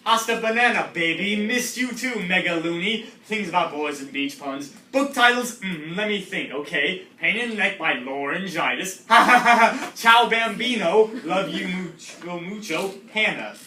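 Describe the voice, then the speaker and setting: A man's voice: high voice, lively intonation, normal speed, hardly any pauses, pushed and bright, roomy sound, distant mic, slight background noise, full character voice. A man voicing a gushy, silly teenage girl with an exaggerated, mocking delivery in a comedy reading.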